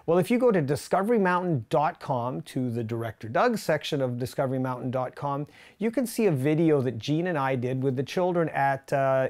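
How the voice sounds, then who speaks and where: A man's voice: a little high, a little mellow. A man in his fifties speaking as a show host in a friendly address to listeners. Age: 50s. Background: music.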